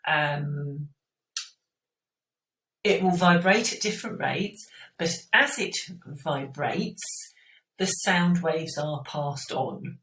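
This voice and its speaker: A woman's voice: sits low, takes it slowly, long pauses, fairly bright tone. A woman teacher giving an informal step-by-step school science explanation, often checking in with the listener. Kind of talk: explanatory school revision lesson. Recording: roomy echo, distant microphone.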